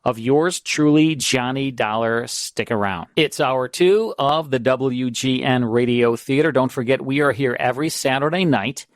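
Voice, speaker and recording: A man's voice: medium pitch, measured pace, few pauses, dry recording. An American man presenting as a radio host, introducing programmes on a close studio microphone. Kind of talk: radio presenting of old-time shows.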